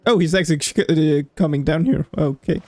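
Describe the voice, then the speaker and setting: A woman's voice: sits low, a little fast, mellow, faint music behind. A woman giving casual let's-play commentary as she streams a video game.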